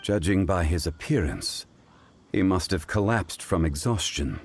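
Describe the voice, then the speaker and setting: An actor playing a calm, wise man in a video game, speaking formally and deliberately. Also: deep voice, a little hiss or hum. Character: ancient composed man, wise and measured.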